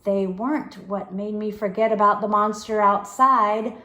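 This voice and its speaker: A woman reads a story aloud slowly and dramatically, leaning into the suspense of the phrasing. Her pitch is middling, and the room around her gives the voice a clear echo. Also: steady tone, pushed and bright. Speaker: reader of a children's book chapter. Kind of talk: expressive storybook read-aloud.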